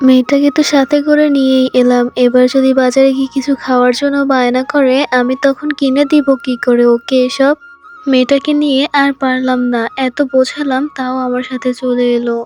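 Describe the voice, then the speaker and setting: A woman plays a tired, hard-up cartoon mother with a mellow, fairly high voice. She explains things with affectionate exasperation, and music runs underneath. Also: level tone, a little fast, natural voice. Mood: exasperated. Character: weary, poor, gently scolding mother. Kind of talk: children's cartoon dubbing.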